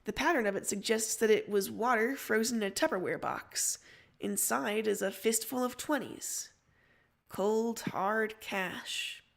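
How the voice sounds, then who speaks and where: A woman's voice: a little high, unhurried, creaky. A woman reading scripted story narration as the narrator.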